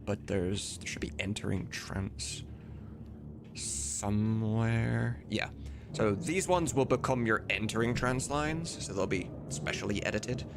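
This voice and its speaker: A man's voice: lowish voice, a little slow, vocal fry, noisy background. A man chatting casually on a live stream, rambling and informal with some swearing. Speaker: live streamer and game modder.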